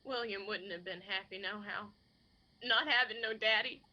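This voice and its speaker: A woman's voice: medium pitch, normal speed, pushed and bright, highs cut off. An actress playing a resigned, grieving young Appalachian mother in a radio drama, subdued and sorrowful.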